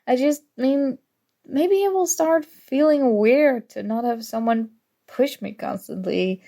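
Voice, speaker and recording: Unhurried, mellow, prickly student rival played by a woman. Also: high-pitched, creaky, exaggerated voice. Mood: flustered, defensive. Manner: teasing, defensive, flustered, soft-spoken tsundere. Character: prickly young female student, grudgingly fond academic rival. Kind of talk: ASMR audio roleplay acting.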